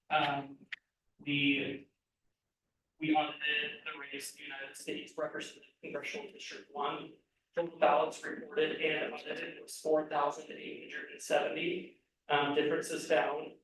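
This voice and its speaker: A man presenting election audit results at a public meeting, reading figures haltingly with many ums and restarts. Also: a little high, a bit bright. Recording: very echoey room, picked up from far off.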